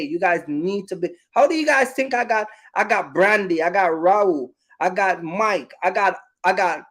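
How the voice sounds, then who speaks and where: A man with a high-pitched voice speaks straight to his viewers in an informal advice monologue. He is emphatic and repeats his points, regularly checking that listeners understand him. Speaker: trucking business YouTuber giving advice.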